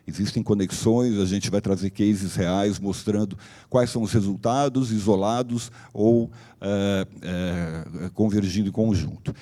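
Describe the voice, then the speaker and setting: A man who is a company director, slightly hoarse, giving a conference presentation in a loose, explanatory way with frequent fillers and restarts. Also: lowish voice, mellow. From Brazil. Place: conference hall.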